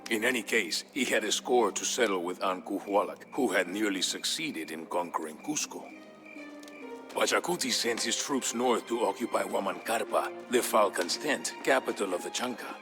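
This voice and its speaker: An actor playing a male narrator who recounts an old legend in a measured, scripted storybook manner for a video game. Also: on the low side, over music, natural voice. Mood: solemn storytelling.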